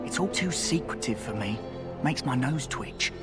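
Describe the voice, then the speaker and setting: An actor playing a cheeky, wary rogue recruit in a fantasy game, with an informal, streetwise way of talking. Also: male voice, mid-range voice, animated, moderate pace, natural voice. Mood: wry, wary. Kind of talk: video game dialogue. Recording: game music behind the voice.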